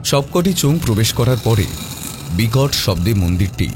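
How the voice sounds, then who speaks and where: Deep-voiced man narrating suspenseful horror. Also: animated, moderate pace, background noise. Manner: suspenseful, theatrical. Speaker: story narrator. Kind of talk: dramatic scripted narration of horror mystery. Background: prominent background music.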